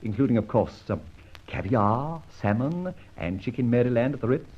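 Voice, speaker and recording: An actor playing a masked, mocking yet courteous gentleman abductor in an old-time radio detective drama, with a theatrical, urbane, teasing delivery. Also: mid-range voice, lively intonation, normal speed, dull audio, natural voice. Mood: wry, persuasive.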